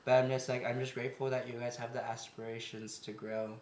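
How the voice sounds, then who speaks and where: A young man in his twenties rambles through a casual podcast chat, packing his sentences with filler words and breaking into laughs. His voice sits at an ordinary middle pitch. The room around him rings with echo. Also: level tone, fast, slight background noise. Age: 20s. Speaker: podcast co-host.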